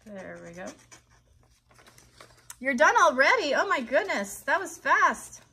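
A woman's voice: sits high, very animated, slow pace, bright, forceful tone. An American woman in her thirties hosting a live craft tutorial for children, chatty and encouraging as she talks directly to them. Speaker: children's craft host and puppeteer. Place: child's bedroom set indoors.

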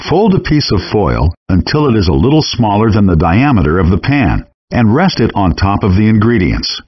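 Patient male game chef instructor, lowish husky voice, calm and measured, muffled game audio. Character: patient friendly adult male chef guide. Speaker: virtual cooking instructor. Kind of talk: scripted game voice lines.

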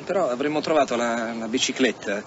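An actor playing a keen, quietly persistent police detective in a radio drama, inquisitive and tentative as he reasons. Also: mid-range voice, brisk, lightly acted. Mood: reasoning. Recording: muffled radio drama with background noise.

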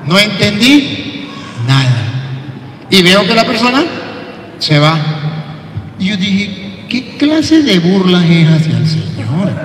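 A middle-aged man, a priest, preaching an animated sermon into a handheld mic. He acts out quotes and swings from soft to shouting. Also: high voice, takes it slowly, long pauses, slight background noise. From Venezuela. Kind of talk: lively sermon. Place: large church, indoors. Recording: echoey church from a distance.

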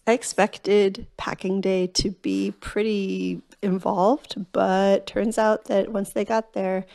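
A woman's voice: medium pitch, flat delivery, slow pace, gravelly, dark tone. A woman in her thirties, a mother, chatting to the camera in a casual vlog while losing her voice, sounding frazzled and self-deprecating. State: losing her voice, sore throat. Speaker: vlogger and mother. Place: home and parked car. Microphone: phone held at arm's length.

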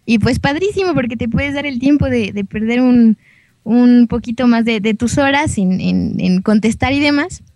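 A woman's voice: fairly high pitch, lively intonation, normal speed, darkish tone, dry recording. A woman in her twenties with a central Mexican accent, chatting casually as a guest in a girlish, sentimental way. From Puebla, Mexico. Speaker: guest, singer and voice actress. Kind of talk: casual chat on a gaming show.

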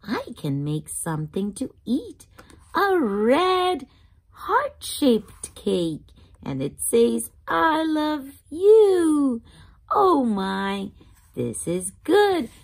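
A woman hosts a children's read-aloud in a high voice that swings expressively up and down. She takes the short, simple sentences slowly and clearly spaced, in a teacherly way, putting easy questions to young listeners. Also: huge pitch swings.

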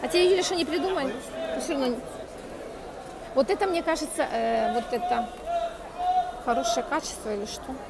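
A woman's voice: sits high, fairly quick, gravelly, pauses often. A woman vlogging as she walks through an outdoor market, chatting to viewers and haggling with sellers in accented Turkish, filmed on a phone with an earphone mic. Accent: Russian-speaking, speaks Turkish with a foreign accent. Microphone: phone camera with earphone mic. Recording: busy market crowd and noise.

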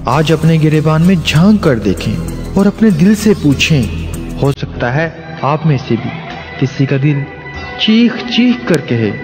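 A man's voice: fairly high pitch, sing-song, takes it slowly. A man playing an earnest radio drama host who addresses listeners in a rhetorical way. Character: earnest male show host defending the speaker. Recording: reverberant hall, music behind.

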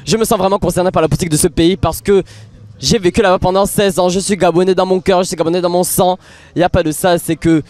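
Young man from Morocco, high-pitched and heated, dry close handheld mic. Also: darkish tone, no room sound. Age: young adult. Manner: passionate, stumbling restarts. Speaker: interviewee. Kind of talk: street interview statement. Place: city street at night, outdoors. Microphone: handheld reporter mic.

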